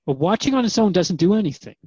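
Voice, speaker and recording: A man's voice: high-pitched, very animated, fast, darkish tone, breathy edge, dry recording, lo-fi sound, exaggerated voice. A man playing an earnest, searching radio host in a drama, asking questions in a thoughtful, conversational, hesitant way. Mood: earnest, concerned. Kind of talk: radio drama interview dialogue.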